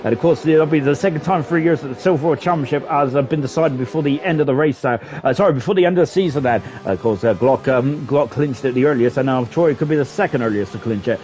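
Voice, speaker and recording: A man giving live sim racing commentary in a chatty, excitable way, often ending sentences with tag questions. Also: mid-range voice, fast, few pauses. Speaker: esports race commentator.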